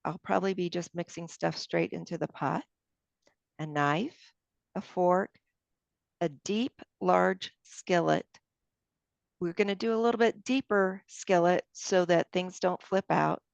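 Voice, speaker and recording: Brief: woman cooking instructor, gravelly tone, dry lo-fi sound, explains steps as she goes. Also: fairly low pitch, unhurried, no room sound. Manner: friendly, explains each step aloud while working. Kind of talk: step-by-step cooking demonstration. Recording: dull lo-fi sound.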